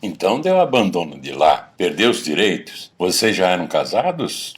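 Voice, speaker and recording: Actor as frank Brazilian buddy, wildly swooping intonation. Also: male voice, deep voice, very animated, normal speed. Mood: incredulous, sympathetic. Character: frank, probing male old friend, moved by confession. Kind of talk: radio drama dialogue.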